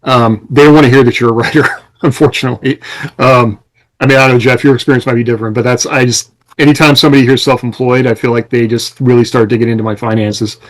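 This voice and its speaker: A man's voice: mid-range voice, fairly even intonation, fast talker, poor audio. A man talking casually as a podcast co-host, explaining things in a rambling way. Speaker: podcast co-host and self-employed writer.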